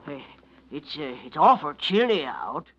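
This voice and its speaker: Shifty nervous husband, curt and halting, 1940s radio drama, muffled with some noise. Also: male voice, a little high, big pitch swings. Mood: panicky, covering up. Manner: halting, curt, uneducated speech. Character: nervous, gruff, money-grubbing husband. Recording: dull noisy vintage radio recording.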